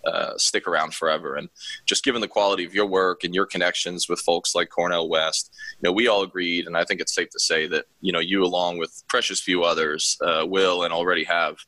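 A man's voice: low-pitched, little pitch movement, brisk, sharp tone, no room sound, rough recording. A man hosting a podcast, wordy and effusive, rambling with many fillers. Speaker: podcast host. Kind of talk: casual podcast interview wrap-up.

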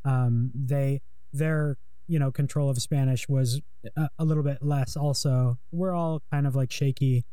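A man's voice: mid-range voice, fairly even intonation, measured pace, dark tone, no echo. A young man in casual conversation, talking in a rambling, hesitant way with many fillers while interviewing a guest.

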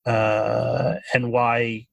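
A man speaking as a podcast guest, measured and earnest, with many fillers and restarts. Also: mid-range voice, little pitch movement, vocal fry, dry recording. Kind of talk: thoughtful political interview.

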